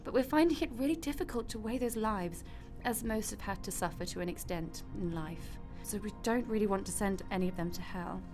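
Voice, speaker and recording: A woman's voice: medium pitch, fairly quick, over music, natural voice. A woman in a radio drama playing God as a casual, matter-of-fact, wry mother figure. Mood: explanatory, apologetic.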